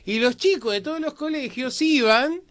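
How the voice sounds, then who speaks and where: A man's voice: very high voice, normal speed, a bit bright, slight background noise. A man with an Argentine accent explaining and telling anecdotes conversationally as a panelist on a history programme. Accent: Argentine Spanish.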